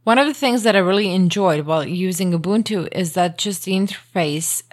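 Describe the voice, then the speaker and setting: A woman's voice: lowish voice, fairly quick, no echo. A woman whose first language is Croatian, chatting as a podcast guest in an earnest, self-deprecating way. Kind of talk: casual podcast conversation.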